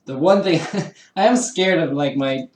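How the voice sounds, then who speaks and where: A man's voice: on the high side, unhurried, roomy sound, heavy background noise. Guy live-streaming a build at home, chatty, jokes at himself, voice bouncing round the room. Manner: chatty, self-deprecating, laughs often, talks to viewers. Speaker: livestreamer building a 3D printer kit. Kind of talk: casual live-stream chat while assembling. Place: home room with a garage downstairs.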